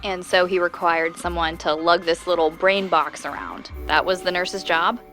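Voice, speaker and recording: A woman's voice: lowish voice, steady tone, moderate pace, a bit bright, faint music behind. A woman acting in an audio drama as a curious, eager listener, inquisitive and reactive as she questions a storyteller.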